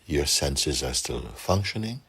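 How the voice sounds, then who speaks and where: A man guiding a meditation for a group, speaking softly and calmly with gentle pauses and a husky, breathy voice. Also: a bass voice. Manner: unhurried, gentle pauses, calm instruction. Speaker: meditation guide. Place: room with a seated group, doors closed.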